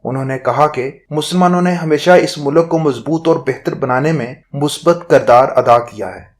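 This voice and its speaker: A man narrating a scripted news report in a formal, measured manner. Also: fairly high pitch.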